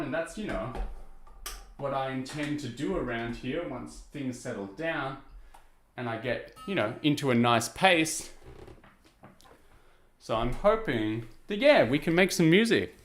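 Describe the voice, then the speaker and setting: Guy with a low voice just rambling easy while he restrings a guitar, lots of "you know", roomy echo. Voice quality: low speaking voice. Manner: easygoing, rambling, self-deprecating, many "you know" and "uh" fillers. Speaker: VTuber streamer. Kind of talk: casual chat to stream viewers while restringing a guitar.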